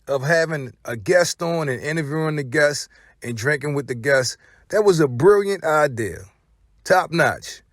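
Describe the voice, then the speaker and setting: Animated slangy man commentating, fairly high voice. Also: no echo, studio quality. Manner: slangy, profane, emphatic with repeated phrases. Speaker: online show host. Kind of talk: informal opinionated hip-hop commentary.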